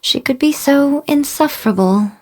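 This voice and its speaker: An actress voicing a sly, haughty fox-spirit shrine priestess for a video game, with a playful, smug and refined theatrical delivery. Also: female voice, high-pitched, lively intonation, normal speed. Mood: wry, exasperated. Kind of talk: scripted video game character voice lines.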